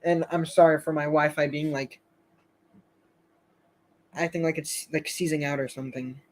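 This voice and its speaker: A man hosting a casual live stream, chatting with viewers in a rambling, hesitant way full of fillers and restarts, his voice going a bit hoarse. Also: fairly high pitch, fairly even intonation, normal speed. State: slightly hoarse, losing his voice.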